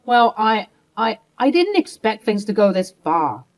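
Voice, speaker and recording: A woman's voice: medium pitch, very animated, unhurried. A woman voicing a stammering, guilty husband. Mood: pleading. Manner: pleading, stammering. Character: cheating husband, adult man, evasive and stammering.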